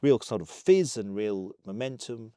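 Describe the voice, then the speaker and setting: Englishman, interview talk. Low-pitched, mellow, closely recorded with no room. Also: expressive, a little slow, no room sound, studio quality.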